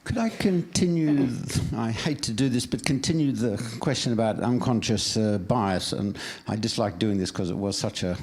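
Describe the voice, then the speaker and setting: A man's voice: on the low side, normal speed, hardly any pauses, darkish tone, a little hiss or hum. Wry man asking a question from the audience. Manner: self-deprecating, wry. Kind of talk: question from the floor.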